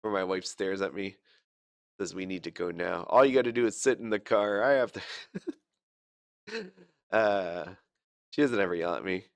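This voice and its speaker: A man's voice: sits low, lively intonation, measured pace, vocal fry, long pauses, clean tone, no room sound. A sick man rambling through casual gameplay commentary, chatty and self-deprecating, with lots of fillers and restarts. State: sick, fighting a cough. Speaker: gaming channel host.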